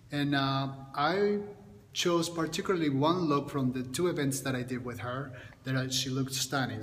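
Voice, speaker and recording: A man's voice: mid-range voice, a bit bright. A man, giving a makeup tutorial to camera and often stopping to restart and repeat words. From Colombia. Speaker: makeup artist. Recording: long reverb, faint background hiss.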